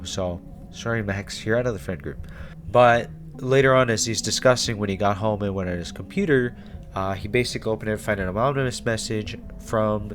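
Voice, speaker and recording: A man's voice: on the low side, slight background noise. A man hosting a YouTube explainer in a casual, irreverent, conversational way. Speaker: YouTube host. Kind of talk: casual horror series explainer.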